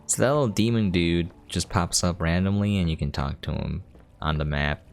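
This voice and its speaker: A man's voice: sits low, normal speed, gravelly. A man giving casual, offhand gameplay commentary as a streamer, informal and a little sweary. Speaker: video game streamer and reviewer. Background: soft game music.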